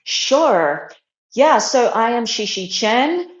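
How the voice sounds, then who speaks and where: A woman's voice: on the high side, sing-song, slow. A young adult woman from Australia, born in China, introducing herself as an interview guest in an earnest, reflective way. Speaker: guest, psychology doctoral student. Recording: roomy, dull lo-fi online audio.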